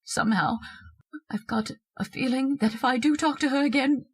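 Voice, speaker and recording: A woman's voice: sits high, moderate pace, breathy edge. A woman playing a bossy, scolding, histrionic wife haunted by an intruder in an old-time radio horror drama. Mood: fearful, insistent. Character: shrill domineering wife, frightened by a ghostly intruder.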